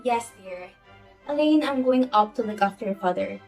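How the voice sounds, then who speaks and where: A woman's voice: a little high, lively intonation, close to an everyday voice. Woman playing a fussy society hostess, music behind. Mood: brisk, fussy. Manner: brisk, commanding, fretful. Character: fussy, excitable, fashionable society hostess and mother. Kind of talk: amateur one-act play acting.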